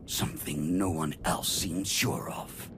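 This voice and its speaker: A man playing an arrogant dark tyrant in a video game, theatrical and contemptuous, with a deep gravelly voice. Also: steady tone, slow. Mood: amused. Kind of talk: dramatic video game acting. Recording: clear noise behind the voice.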